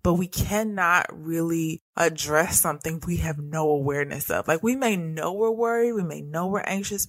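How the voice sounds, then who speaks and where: A woman's voice: low-pitched, no room sound. Earnest woman teaching about anxiety. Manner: earnest, repetitive phrasing, direct address. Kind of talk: teaching monologue.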